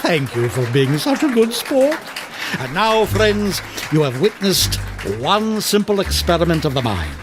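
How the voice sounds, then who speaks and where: An actor playing a theatrical stage hypnotist in an audio drama, with a grandiose, commanding manner. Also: male voice, high voice, sing-song, unhurried, over music. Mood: triumphant pitchman.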